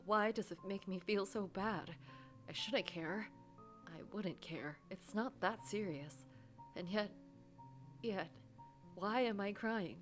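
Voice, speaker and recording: A woman voicing an anxious, guilt-ridden office worker who narrates a story game in a dramatic, wounded, halting way. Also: mid-range voice, soft background music, natural voice. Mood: tearful confusion.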